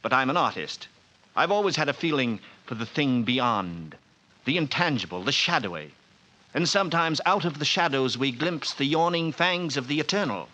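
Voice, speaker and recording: A man's voice: medium pitch, lively intonation, normal speed, pushed and bright, rough recording. An actor playing a sleepless, haunted male artist, with intense, pleading old-time radio delivery. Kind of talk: 1940s radio acting.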